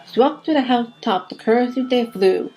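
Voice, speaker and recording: A woman reading a children's Christmas poem aloud as an amateur storyteller, in an expressive, informal way. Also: fairly high pitch, moderate pace, soft background music.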